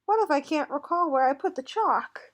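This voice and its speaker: A woman's voice: high voice, lively intonation, normal speed. A woman voicing a nervous, forgetful male armadillo teacher in a picture book, hesitant and bashful. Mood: anxious. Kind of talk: picture book read aloud.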